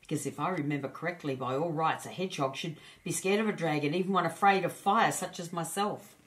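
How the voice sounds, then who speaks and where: A woman voicing a gentle, lonely young male storybook dragon with an earnest, polite manner. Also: sits low, brisk, natural voice.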